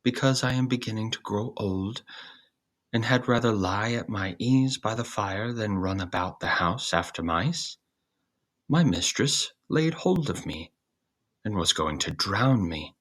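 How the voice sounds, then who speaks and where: Casting: man as an elderly, weary fairy-tale cat, mournful and sighing, slow delivery with a husky edge. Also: fairly low pitch, lively intonation, fairly bright tone, pitched above the performer's own voice. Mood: plaintive. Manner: plaintive, sighing. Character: aging, weary, gloomy cat, storybook animal.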